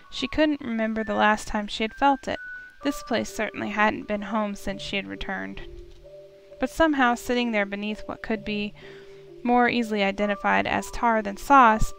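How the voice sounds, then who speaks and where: A woman's voice: mid-range voice, a bit bright. A woman reading a visual novel aloud as story narration for a playthrough. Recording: music clearly behind the voice.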